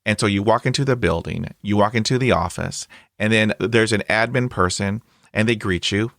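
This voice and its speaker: A man's voice: on the low side, normal speed, vocal fry, fairly bright tone, no room sound, studio quality. A man hosting a podcast interview, stuttering heavily with blocks and repeated sounds, upbeat and chatty with lots of fillers. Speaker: podcast host. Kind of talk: conversational interview.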